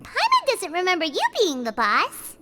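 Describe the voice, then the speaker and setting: A woman voicing a small, cute, excitable floating companion girl in a video game, with a squeaky childlike voice. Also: moderate pace, very noisy, heavily acted. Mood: indignant. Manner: speaks of self in third person, exclamatory. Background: loud game music.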